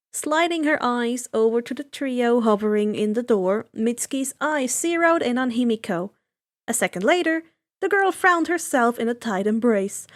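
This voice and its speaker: A woman reading fan fiction aloud as expressive scripted narration. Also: fairly high pitch.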